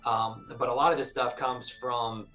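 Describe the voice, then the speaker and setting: A man hosting a film-fan video series, talking casually in a halting, rambling way and often correcting himself. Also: medium pitch, steady tone, sharp tone, faint music behind, poor audio.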